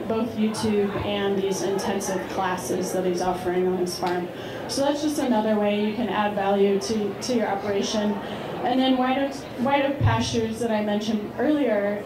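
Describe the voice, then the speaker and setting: A woman giving an informal slide presentation at a conference, speaking in a conversational, explanatory way. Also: medium pitch. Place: large indoor meeting hall with seated audience. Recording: roomy, noisy hall recording. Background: room noise and ambience.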